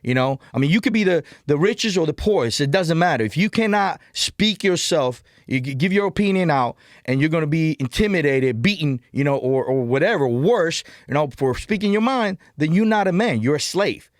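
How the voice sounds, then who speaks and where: Animated Cuban-accented man, bright, dry. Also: a little high, fairly quick, hardly any pauses, no echo. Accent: Cuban Spanish. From Cuba. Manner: animated, emphatic, repeats words, says "man" and "brother". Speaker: interview guest. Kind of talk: podcast interview storytelling.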